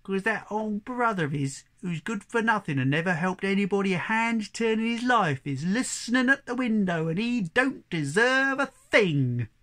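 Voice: medium pitch, lively intonation, normal speed, hardly any pauses, fairly bright tone, voice pushed up, lightly acted. A storyteller voicing a plain-spoken, homely farm donkey in a folk tale, with rural turns of phrase. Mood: disapproving. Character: plain-spoken rustic farm donkey, loyal, disapproving of idlers.

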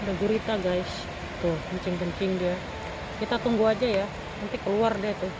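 A woman's voice: mid-range voice, fairly even intonation, normal speed, noisy background. A woman narrating her own foraging vlog as a voice-over, chatting with viewers as friends. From Indonesia. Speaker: vlog host. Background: background music.

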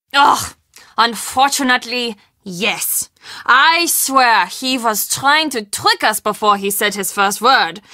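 An actress playing a friendly, earnest castle hostess in a video game, confiding and expressive in manner. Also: female voice, a little high, no room sound, clean studio sound, full character voice. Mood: fond, exasperated. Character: friendly young German castle hostess, earnest, spooked, chatty. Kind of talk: video game dialogue acting.

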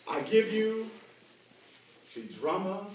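Animated American man, very echoey room. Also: high voice, slow pace. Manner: animated, theatrical. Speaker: presenter at a school event. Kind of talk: playful interactive presentation to children. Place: classroom, indoors, full of children. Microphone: distant camera. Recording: very echoey, noisy classroom, mic far away.

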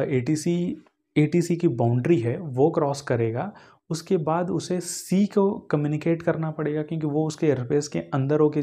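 Young indian guy teaching a tutorial, kinda high but soft dark tone, explains stuff simply, drops english tech words. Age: 20s. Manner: simple step-by-step explaining, mixing in English technical terms. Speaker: instructor. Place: indoor green-screen studio.

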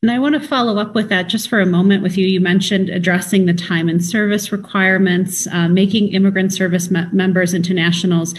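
A woman in her thirties moderating a webinar panel over a video call, asking polite, quick questions. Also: mid-range voice, steady tone.

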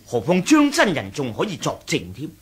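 An actor playing a dignified, diplomatic senior man of a martial sect in a Cantonese radio drama, formal and declamatory, with a raspy voice and a Hong Kong accent. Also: on the high side, wildly swooping pitch, normal speed, a bit bright. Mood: reasoned, solemn.

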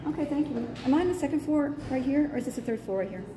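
A woman's voice: high voice, lively intonation, racing, crowd noise. A middle-aged woman filming herself on a phone indoors, chatting and asking curious, teasing questions. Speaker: vlogger filming a public building. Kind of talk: casual self-filmed narration. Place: indoor courthouse hallways. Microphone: handheld phone. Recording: echoey hallway, loud background noise.

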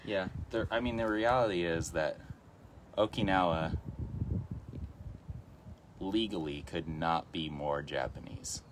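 A man's voice: deep voice, slow, long pauses, slight background noise. A man co-hosting a live stream outdoors, chatting casually and reading out viewer comments. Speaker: co-host. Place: outdoors in a park.

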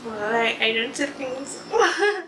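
A young Colombian woman speaks slow, halting English with a Spanish accent, often pausing to find words and laughing softly. Her voice sits fairly high. She is picked up from across an echoey living room with some background noise. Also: animated, recorded from a distance. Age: young adult. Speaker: interviewee. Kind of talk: casual interview in a second language. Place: living room, sitting on a couch. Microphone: camera across the room.

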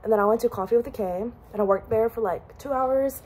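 A woman's voice: on the high side, fast talker, dark tone. A young American woman chatting casually to her phone indoors, in a playful, teasing, informal way. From born in Korea, grew up in Missouri. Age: young adult. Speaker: student vlogger. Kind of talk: casual chat to camera. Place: indoors in dorm rooms and lounges. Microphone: phone at arm's length. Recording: handheld vlog audio with clear background noise.

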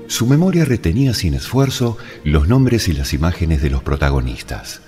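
A man narrating a literary story in a dramatic, measured and deliberate way. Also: low-pitched, very animated, a little mellow. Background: music.

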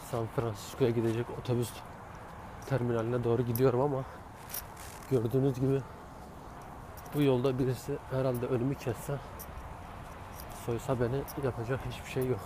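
A man's voice: mid-range voice, little pitch movement, a little fast, pauses often, background noise. A man chatting wryly to the camera as he hikes a hillside trail at night, filming his trip himself. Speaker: travel vlogger. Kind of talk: casual travel vlog narration.

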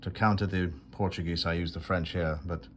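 A man hosting his own coin video, narrating an unboxing from off camera in a dry, self-deprecating way with unhurried pauses. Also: low-pitched, fairly even intonation, a little hiss or hum. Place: indoors at a marble tabletop. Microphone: phone camera held over a table.

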